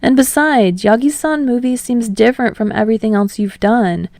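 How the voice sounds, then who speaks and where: Woman playing an easygoing young man, mellow and creaky. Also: on the high side, normal speed, no echo, studio quality, lightly acted. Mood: encouraging. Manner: casual, upbeat, gently coaxing. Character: friendly, earnest, easygoing young man, curious fan.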